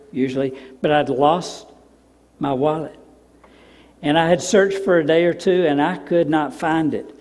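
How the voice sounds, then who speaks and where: An older man, a pastor preaching a sermon in a church sanctuary, telling stories and dropping to soft, emphatic repeats. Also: a little high. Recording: long reverb of a church hall.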